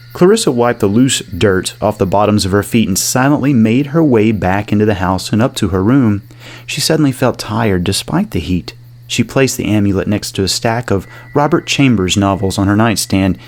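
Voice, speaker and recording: A man narrating a scripted gothic horror audio drama in a measured, dramatic delivery. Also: on the low side, darkish tone, slight background noise. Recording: music under the voice.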